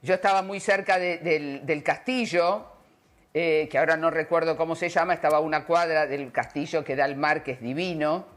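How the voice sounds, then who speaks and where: A woman's voice: deep voice, steady tone, normal speed. A woman with an Argentine accent interviewing conversationally, wordy and personal, often feeling her way to the question. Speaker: panel interviewer.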